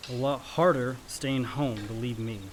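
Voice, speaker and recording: A man's voice: mid-range voice, measured pace, a little hiss or hum, close to an everyday voice. A male actor playing a teasing, easygoing grown son and loyal brother in a naturalistic radio play. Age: young adult. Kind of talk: naturalistic radio drama dialogue.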